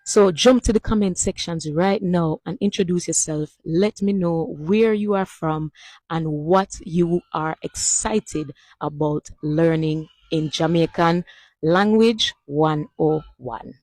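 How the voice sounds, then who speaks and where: A woman's voice: low-pitched, takes it slowly, a little mellow, dry recording. A woman giving a playful mock lecture that welcomes students to a course. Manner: teacherly, announcing a course. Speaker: social media creator.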